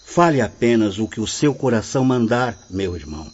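A man playing a calm, benevolent spirit guide in a radio drama, speaking in a solemn, formal, instructive way. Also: lowish voice, fairly quick, lightly acted. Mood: solemn, encouraging. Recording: muffled, lightly noisy radio play audio.

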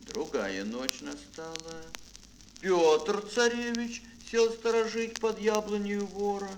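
A man telling a fairy tale for children in a dramatic, drawn-out, expressive storyteller's manner. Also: sits high, a little hiss or hum. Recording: music behind, old mono record. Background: music.

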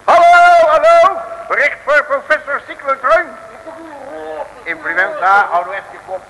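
An actor playing a bossy, jovial detective in a mid-century Dutch radio comedy, exclamatory and colloquial. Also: male voice, very high voice, animated, unhurried, pushed and bright, full character voice, low-quality recording. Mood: scheming, bossy. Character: boisterous, bossy, jovial male comic detective. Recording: hissy 1950s radio recording.

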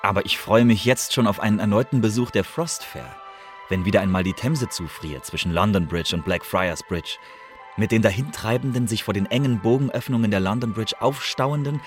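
A man's voice: fairly low pitch, natural voice. An actor playing a wistful young male prisoner in a radio drama, reading his letter aloud in a savouring, literary way. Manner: savouring, literary, builds into excited lists. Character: Christopher, wistful, eloquent young male prisoner writing home.

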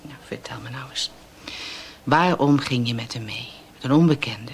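A woman's voice: a bass voice, normal speed, breathy edge, exaggerated voice. An actress playing a teasing, sardonic female police inspector in a naturalistic radio drama. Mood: probing, sardonic. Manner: sardonic, probing.

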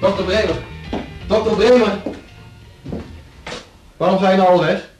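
A man's voice: sits high, fairly even intonation, unhurried, distant mic, over music. An actor playing a brash, indignant male hospital nurse in a radio drama, blunt and confrontational. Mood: challenging. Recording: echoey room, away from microphone.

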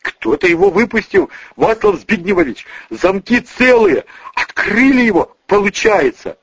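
A man's voice: mid-range voice, huge pitch swings, extremely slow, bright, forceful tone, dry recording, muffled recording, voice pushed up, heavily acted. Man acting a terrified, stammering henchman. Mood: panicked. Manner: stammering, croaking. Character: terrified, breathless male bodyguard stammering bad news.

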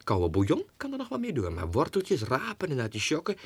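A man's voice: a little high, huge pitch swings, a little fast, slightly rough, dry recording, full character voice. A man voicing a pampered, gossipy female lapdog's letters in an affected, dramatic way for a 1960s radio drama. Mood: chatty, fussy.

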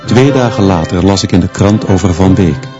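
A man's voice: low voice, normal speed, dull audio, over loud music, lightly acted. An actor playing a sober male teacher who narrates a radio drama in terse, short phrases. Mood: sober, grave. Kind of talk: scripted first-person radio drama narration.

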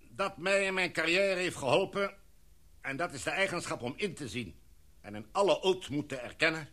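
A man's voice: on the high side, bright, forceful tone. An actor playing a commanding, decisive male expedition leader in a radio play, addressing a meeting in a formal, speech-like way.

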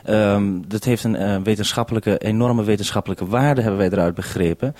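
Low, dry-voiced male radio interviewer. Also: brisk, darkish tone, dry recording. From Netherlands. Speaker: radio programme maker, interviewer. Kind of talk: unscripted interview over radio link.

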